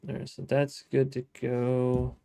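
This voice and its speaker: A man's voice: mid-range voice, completely flat delivery, unhurried, vocal fry, warm tone, smooth, dry recording. A man hosting a gameplay video, talking casually to himself as he plays, thinking aloud with restarts. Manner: chatty, thinking aloud, frequent restarts and self-talk. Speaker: gaming let's-play host.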